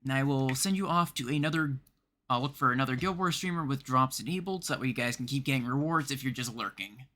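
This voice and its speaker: A man's voice: medium pitch, fast, pushed and bright. A man from Canada chatting casually as he livestreams a game.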